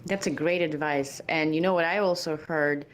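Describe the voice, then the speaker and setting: A woman with a very low voice hosts an interview. She asks long, stacked questions and pads them with a few fillers. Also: fairly even intonation, moderate pace, a little hiss or hum, low-quality recording. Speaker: podcast host.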